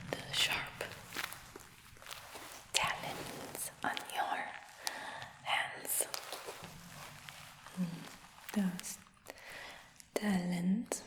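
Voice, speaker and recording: Very slow whispering woman, reverberant room. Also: medium pitch. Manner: soft coaxing whisper with slow repetitions. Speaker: ASMR roleplayer. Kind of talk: whispered ASMR fantasy roleplay. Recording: roomy with long reverb.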